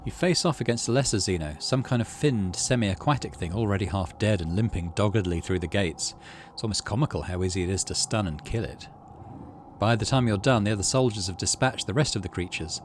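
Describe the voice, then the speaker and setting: A man hosting a gaming video, casually musing to himself in a low-key way and reading story text aloud as he plays. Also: brisk, slight background noise.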